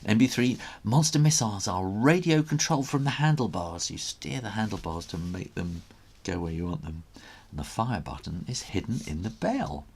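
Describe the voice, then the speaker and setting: A man reading a children's story aloud in a dramatic, expressive way. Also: on the low side, moderate pace, creaky, breathy edge.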